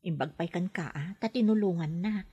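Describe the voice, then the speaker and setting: A woman's voice: on the low side, normal speed, warm tone, slightly rough, highs cut off. A woman playing a nervous young nanny who is newly abroad in a radio drama, speaking hesitantly and with a flustered manner. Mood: resigned. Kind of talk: Ilocano radio drama acting.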